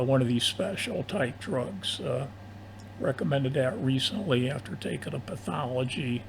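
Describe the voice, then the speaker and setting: An older man chatting casually over amateur radio in long turns, with a halting, hesitant delivery. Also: medium pitch, gravelly. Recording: noisy amateur AM radio audio.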